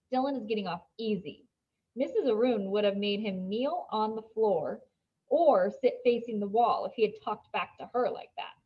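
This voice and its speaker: A woman reading a children's novel aloud as an expressive storybook narrator. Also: a little high, slightly rough, highs cut off.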